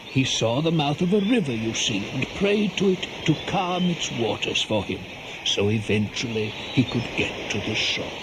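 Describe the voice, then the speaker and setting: A man narrating a scripted radio play in a dramatic, deliberate storytelling manner. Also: mid-range voice, very animated, heavy background noise.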